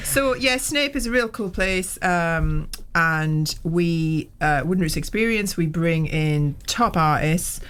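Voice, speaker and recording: A woman's voice: lowish voice, lively intonation, slow pace, few pauses, a bit bright, smooth. A woman guest on the radio talking about her arts events, hesitant with many ums. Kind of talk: casual radio interview. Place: radio studio.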